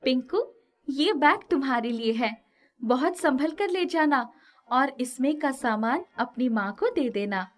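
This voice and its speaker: Woman voicing a motherly village wife, high and lively. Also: measured pace. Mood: affectionate. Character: generous, affectionate older village wife. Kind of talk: animated moral story character dialogue.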